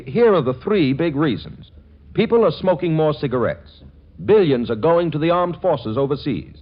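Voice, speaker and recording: A man's voice: fairly high pitch, slightly rough. A man announcing for 1940s radio, delivering scripted commercial patter in a chummy, emphatic way. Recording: muffled 1940s radio recording, faint music.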